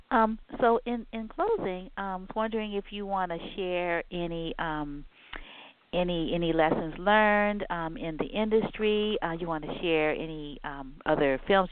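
A woman's voice: lowish voice, moderate pace, no room sound. A woman hosting a radio interview, chatting casually with lots of fillers and little hesitations. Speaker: radio show host. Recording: phone-like line.

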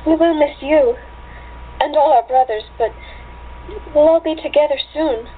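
A woman's voice: high voice, moderate pace, close to an everyday voice. A woman playing an eager, brave young seamstress daughter, earnest and dutiful, in an amateur radio play. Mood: dutiful, hopeful. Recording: tinny phone-like line with hum.

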